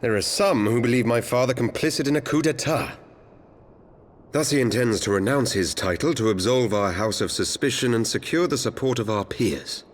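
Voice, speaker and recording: A man's voice: medium pitch, lively intonation, normal speed, close to an everyday voice. An actor playing an earnest, dutiful young nobleman, speaking formally and solemnly in a game cutscene. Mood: grave, concerned. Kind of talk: video game cutscene acting, archaic formal speech. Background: prominent orchestral music.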